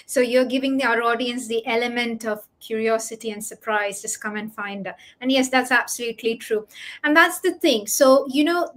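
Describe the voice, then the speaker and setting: A middle-aged woman hosting a live promotional talk on an online video call. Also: fairly high pitch. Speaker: host, online business coach.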